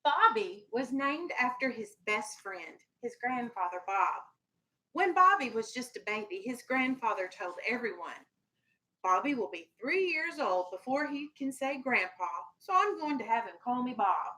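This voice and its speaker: A woman's voice: on the high side, sing-song, moderate pace, pushed and bright, low-quality recording. A woman teacher with a firm, instructive manner, reading a children's storybook aloud and talking to her class in a classroom. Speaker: elementary school teacher.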